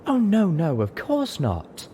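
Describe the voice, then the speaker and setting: A man's voice: fairly high pitch, huge pitch swings, slow pace, mellow, a little hiss or hum, pitched above the performer's own voice, heavily acted. A man voicing a ladylike female unicorn, drawling and casually cutting, in an audiobook. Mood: mock reassurance. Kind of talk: audiobook character voice.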